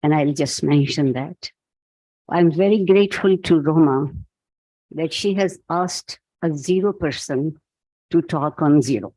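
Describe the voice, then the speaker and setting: A man with a Pakistani accent giving a reflective keynote talk, speaking in a measured, halting, philosophical way. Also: a little high, dark tone, no echo, poor audio. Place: event hall.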